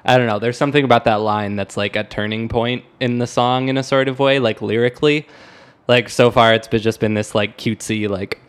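A man co-hosting a casual music discussion, speaking in hesitant, halting phrases with repeated words. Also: mid-range voice, steady tone, clean tone. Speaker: podcast co-host.

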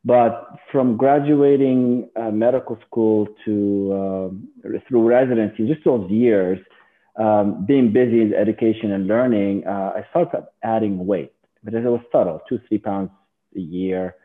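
A man from Lebanon, a physician guest in an interview, talking in a halting way with many fillers and restarts. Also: fairly low pitch. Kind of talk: casual interview. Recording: tinny phone line.

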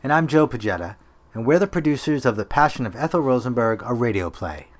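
A man producer reading a podcast outro briskly. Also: medium pitch, animated, dry recording.

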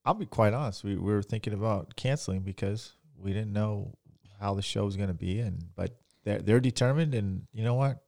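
Gravelly-voiced man, easygoing. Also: fairly low pitch, fairly quick, darkish tone, no room sound, studio quality. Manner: easygoing, teasing. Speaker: podcast guest, host's husband. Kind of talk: casual podcast chat. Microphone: studio microphone.

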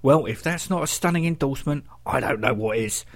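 A man's voice: mid-range voice, expressive, fairly quick. A man hosts a rock radio show, talking between records in a presenter's style. His delivery is that of a music DJ introducing tracks. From United Kingdom.

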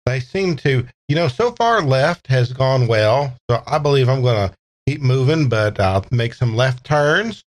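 A man's voice: medium pitch, expressive, a little slow, natural voice. A man playing an easygoing Texan character with a folksy, rambling drawl in a tabletop roleplay. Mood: easygoing, wry.

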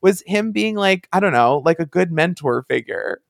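A man's voice: high voice, expressive, moderate pace, gravelly, no room sound, clean studio sound. A man co-hosting a podcast, talking casually with an enthusiastic, rambling manner that keeps interrupting itself. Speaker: podcast host. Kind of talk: casual fan discussion.

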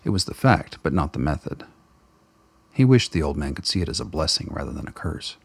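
A man with a gravelly, husky voice giving dramatic scripted narration for a radio play. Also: rumbling low, sing-song, a little fast, no echo.

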